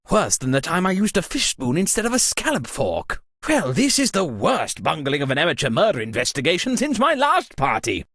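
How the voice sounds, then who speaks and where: A man's voice: sits high, a bit bright, breathy edge, no room sound, studio quality, exaggerated voice. A man voicing a pompous, flippant wealthy lord hosting a murder-mystery party in a comedic video game, with theatrical, mock-genteel delivery. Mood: airy, affected, then archly apologetic.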